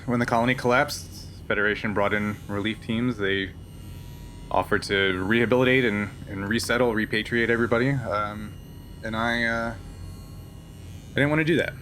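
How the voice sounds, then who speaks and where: A man playing a frank, weary smuggler held prisoner in a tabletop game, speaking in a halting, earnest way. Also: fairly low pitch, fairly even intonation, fairly bright tone, a little hiss or hum, lightly acted. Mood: frank, guarded.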